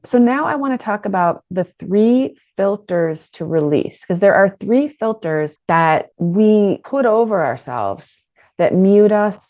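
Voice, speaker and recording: A middle-aged woman teaching an online business course in a lively, conversational way, with frequent restarts. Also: mid-range voice, measured pace, no room sound, lo-fi sound. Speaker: business coach.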